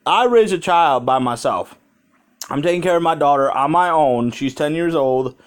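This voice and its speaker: A man's voice: fairly high pitch, expressive, moderate pace. An American man talking in a rambling, conversational way with casual swearing, telling a story as a vlogger into a handheld phone.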